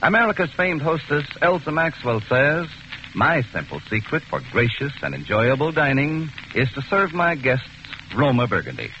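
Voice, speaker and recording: A man reading a 1940s radio commercial as the announcer, smooth and emphatic. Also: mid-range voice, big pitch swings, moderate pace, pushed and bright, slight background noise. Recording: muffled old radio broadcast.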